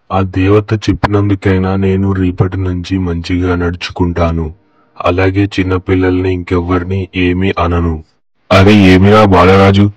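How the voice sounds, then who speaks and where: A man's voice: low-pitched, a little mellow, breathy edge, no echo, highs cut off. A man playing a proud, blunt village farmer in a cartoon moral story. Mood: repentant, then apologetic, kindly. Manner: boastful, blunt, colloquial. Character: arrogant, scolding village farmer who later repents.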